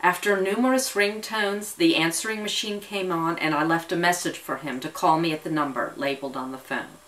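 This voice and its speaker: A woman's voice: fairly low pitch, fairly quick, a bit bright. A woman reading a story aloud as scripted narration, with the occasional slip and restart. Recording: voice distant from the mic.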